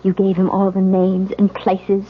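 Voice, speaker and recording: A woman's voice: mid-range voice, completely flat delivery, measured pace, close to an everyday voice. A woman playing a distraught, suspicious young newlywed wife in a 1970s radio drama, with intense, theatrical delivery. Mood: cold, bitter. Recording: muffled vintage radio recording.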